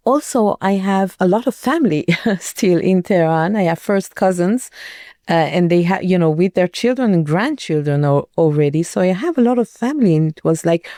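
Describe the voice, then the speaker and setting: An older woman from Iran with an Iranian accent, answering interview questions in an earnest, measured way, often pausing with fillers. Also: fairly low pitch, dark tone, no room sound, studio quality. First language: Farsi. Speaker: interviewee, writer and translator.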